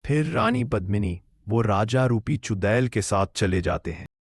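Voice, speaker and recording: A man playing a grave holy man recounting a cartoon tale in a measured, explanatory way. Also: on the low side, husky. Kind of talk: Hindi cartoon story narration.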